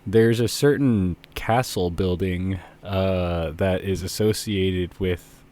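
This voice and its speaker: A man's voice: low voice, fairly even intonation, slow, darkish tone. A man hosting a casual podcast group chat in a joking, teasing way. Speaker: podcast host.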